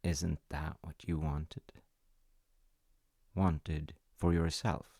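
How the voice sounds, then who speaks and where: Guy doing a strange calm angel in a story, super deep n slow, polite but cryptic. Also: dry recording, clean studio sound, exaggerated voice. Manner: unhurried, formally polite, cryptic.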